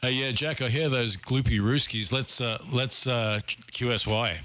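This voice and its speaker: A man's voice: medium pitch, moderate pace. Man, amateur radio operator, casual two-way contact, very noisy shortwave recording.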